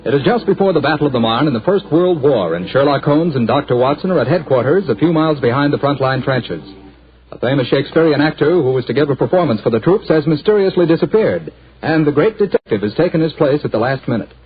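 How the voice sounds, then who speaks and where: A man's voice: fairly high pitch, expressive, fast, husky. A man with an American accent, announcing on a 1940s radio show in a folksy, salesy, upbeat manner. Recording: muffled 1940s radio broadcast.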